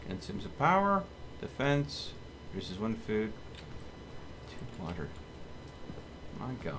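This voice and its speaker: A man streaming a video game, thinking aloud in casual, muttered commentary as he deliberates. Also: lowish voice, wildly swooping pitch. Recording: clear background noise from game ambience.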